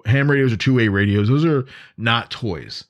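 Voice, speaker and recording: A man's voice: mid-range voice, lively intonation, moderate pace, muffled recording. A man hosting a podcast in a conversational, instructive way, repeating points for emphasis. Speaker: podcast host. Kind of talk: conversational podcast monologue on emergency preparedness.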